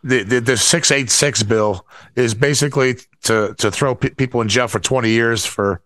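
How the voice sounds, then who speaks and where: A man co-hosts an informal political chat in a voice of average pitch. He talks haltingly, doubling up words as he works toward his point. The recording is dry, with little room sound. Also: a bit bright, no room sound. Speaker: talk show co-host. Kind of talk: casual political commentary chat.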